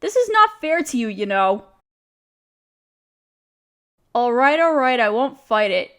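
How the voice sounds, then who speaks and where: High-voiced, apologetic young woman acted by a woman. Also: very animated, moderate pace, fairly bright tone. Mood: flustered, protesting. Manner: self-deprecating, hesitant, casual. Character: downcast, apologetic young woman, overworked, confiding in a close friend. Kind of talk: roleplay audio drama, intimate one-sided conversation.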